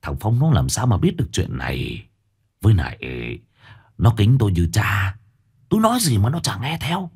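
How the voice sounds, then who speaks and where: A man voicing a gruff, scheming middle-aged village man who mutters in a low voice. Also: huge pitch swings, a little fast, long pauses, full character voice.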